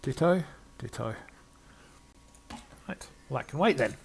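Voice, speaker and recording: An older man giving let's-play commentary, reading game text aloud and thinking aloud in a halting way. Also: mid-range voice, expressive, vocal fry, a little hiss or hum. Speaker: gamer playing and narrating a game.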